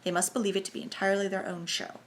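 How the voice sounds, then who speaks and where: A woman voicing a confident German conspirator with a clear voice and a brisk, businesslike manner. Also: high-pitched, little pitch movement, slight background noise, natural voice.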